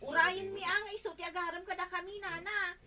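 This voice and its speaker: An actor with an Ilocano accent voicing a squeaky, apologetic villager pleading in a radio comedy. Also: little pitch movement, fairly quick. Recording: distant mic with crowd-like noise.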